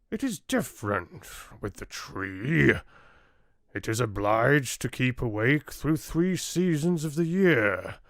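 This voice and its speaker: A man performs an ancient tree-giant telling a storybook fairy tale. He speaks extremely slowly and solemnly, with wide, gentle swells of pitch. The sound is close and dry. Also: vocal fry, no echo, heavily acted. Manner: slow, deliberate, measured. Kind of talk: audiobook fairy tale narration.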